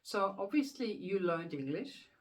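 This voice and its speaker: A woman's voice: mid-range voice, animated, unhurried. A woman with a Swiss accent, a hypnotherapist explaining the subconscious mind to camera in an earnest, repetitive way with rhetorical questions. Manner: earnest, repeats words while thinking, rhetorical questions. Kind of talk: self-help explainer to camera.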